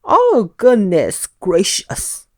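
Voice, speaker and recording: A woman's voice: mid-range voice, wildly swooping pitch, drawn-out words, darkish tone, no echo, clean studio sound, full character voice. A woman voicing a tiny, jittery little man who panics and shrieks. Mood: panicked. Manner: shrieking, stammering, melodramatic.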